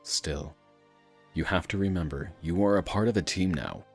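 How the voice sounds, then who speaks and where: A man playing an earnest, steady hero in an animated fan dub, speaking in a measured, sincere and encouraging way. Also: low-pitched, breathy edge, faint music behind. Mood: earnest, reassuring. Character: deep-voiced male fire hero, earnest and steady teammate.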